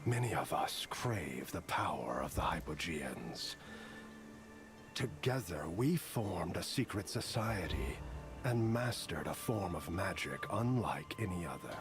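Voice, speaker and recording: An actor playing a sinister, power-hungry cult master who speaks in a slow, menacing whisper. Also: male voice, low voice, expressive. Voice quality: hushed whisper. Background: game music.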